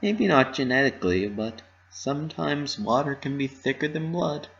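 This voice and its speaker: A man voicing a gentle, reassuring motherly mare in a story reading, tender and consoling. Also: medium pitch, expressive, normal speed, creaky, voice pushed up. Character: gentle, reassuring motherly adult female pony. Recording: slightly muffled lo-fi recording.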